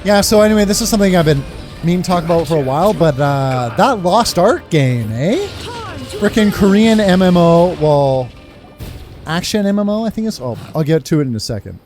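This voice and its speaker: A man's voice: high-pitched, very animated, a little fast, a little mellow. Casual male gamer commentating over music. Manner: informal, muttering asides, mild swearing. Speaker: gaming video host. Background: game music.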